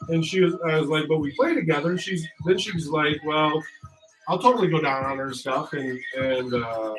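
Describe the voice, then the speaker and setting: A man's voice: a little high, normal speed, a bit bright, low-quality recording. Rambling man in casual chat, music underneath. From Michigan. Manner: rambling, candid, joking. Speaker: co-host of a couple's channel. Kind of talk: casual chat on a live stream.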